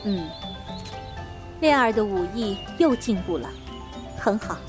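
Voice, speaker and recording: A woman playing a composed, authoritative mature female master in a Chinese period martial arts audio drama, formal and unhurried. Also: mid-range voice, expressive, over music. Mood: approving, calm.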